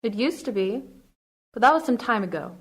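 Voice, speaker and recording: A woman plays a prim young woman with a high, light voice. She is curt and guarded toward a stranger, and her voice rises into screams when she is frightened. The delivery is open stage acting. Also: animated, a little fast, breathy edge. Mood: cool guarded. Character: guarded young wife, frightened then relieved. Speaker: actor. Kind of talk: stage or radio play acting.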